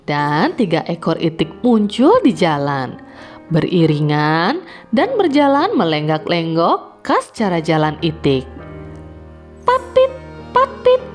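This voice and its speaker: A woman narrating a scripted fairy tale for children in an expressive, deliberate read-aloud manner. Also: fairly low pitch. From Indonesia. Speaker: children's storyteller. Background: music.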